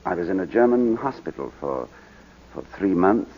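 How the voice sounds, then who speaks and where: Low-voiced, dignified British baronet. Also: male voice, expressive, normal speed, breathy edge. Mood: hesitant, reserved. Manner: hesitant, clipped, dignified. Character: proud English baronet, war-wounded veteran testifying in court. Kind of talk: 1940s radio drama acting. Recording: muffled vintage 1940s radio broadcast.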